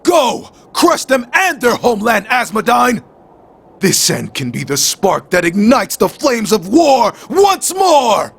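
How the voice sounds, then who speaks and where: An actor playing a bitter, fanatical soldier villain in a video game, with a theatrical, ranting delivery. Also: male voice, very high voice, huge pitch swings, takes it slowly, gravelly, a bit bright, slightly rough, raised voice, full character voice. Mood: triumphant, fanatical.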